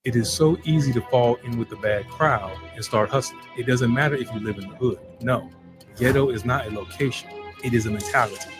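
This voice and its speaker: A man reading a scripted video narration in a measured, even delivery. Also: mid-range voice, over music.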